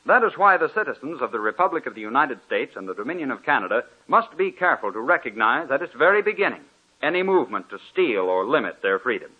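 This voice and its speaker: A man reads a formal, declaiming sponsor message on old-time radio. His pitch moves lively and his voice is husky. The sound is thin and phone-like. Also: fast talker. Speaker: sponsor announcer. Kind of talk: scripted radio announcement. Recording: tinny old radio broadcast.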